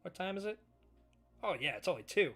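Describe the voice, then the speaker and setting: A man live-streaming a casual, excitable reaction read-through, swearing freely as he chats with viewers. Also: sits high, fairly quick, faint music behind. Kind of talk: casual livestream reaction chat while reading manga.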